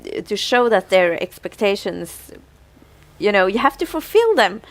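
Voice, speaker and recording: A woman's voice: mid-range voice, very animated, moderate pace, no echo. A woman co-hosting a podcast in casual, giggly conversation. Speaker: podcast co-host. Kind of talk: casual chat.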